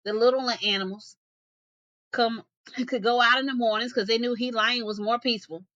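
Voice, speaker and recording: A woman reading a folktale aloud as an expressive storyteller. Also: fairly high pitch, normal speed, no room sound, highs cut off.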